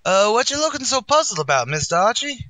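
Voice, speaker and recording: A sardonic tavern waiter. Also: male voice, high voice, sing-song, quick pace, sharp tone, no room sound, full character voice. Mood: dry. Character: dry-witted male tavern waiter, sardonic. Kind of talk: 1940s radio comedy acting.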